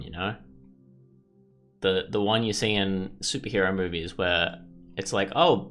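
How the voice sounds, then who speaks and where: A man's voice: on the low side, a bit bright. A man hosting a game walkthrough, giving casual, informal, rambling commentary as he plays. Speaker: gaming video host.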